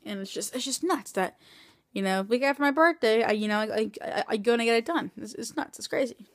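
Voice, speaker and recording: Halting young woman recovering from surgery. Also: on the high side, no room sound, studio quality. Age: 20s. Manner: rambling, halting, many restarts. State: tired and in pain after surgery. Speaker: vlogger. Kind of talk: casual video diary. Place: small room indoors at night. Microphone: phone camera held close.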